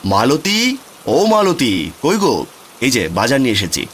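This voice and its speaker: A man's voice: mid-range voice, big pitch swings, moderate pace, fairly bright tone, a little hiss or hum. A man voicing a poor, mild village father in a cartoon, folksy in manner. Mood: mild, apologetic. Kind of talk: cartoon voice acting.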